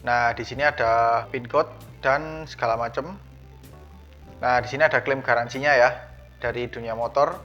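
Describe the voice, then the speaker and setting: A man's voice: medium pitch, a bit bright. Man, lo-fi casual unboxing narration. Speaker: unboxing vlogger. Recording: dull lo-fi sound, music behind. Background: background music.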